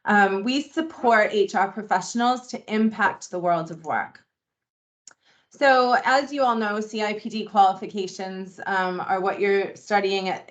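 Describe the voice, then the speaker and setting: A middle-aged woman from Boston with a Boston accent, giving a friendly, conversational induction presentation over a video call and using plenty of ums. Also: mid-range voice. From Boston, USA. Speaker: membership engagement manager presenting a webinar. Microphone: video call microphone.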